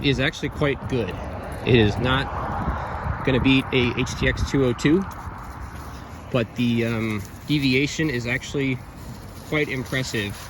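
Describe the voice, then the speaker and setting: A man giving an informal, technical gear review of handheld radios in a halting, rambling, matter-of-fact way. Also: mid-range voice. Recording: very noisy, radio static and chatter. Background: radio hiss and transmissions.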